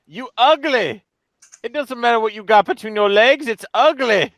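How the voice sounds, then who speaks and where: A man's voice: very high voice, normal speed, fairly bright tone, dry recording, studio quality. A man giving casual, joking commentary on a stream in a derisive, mocking manner.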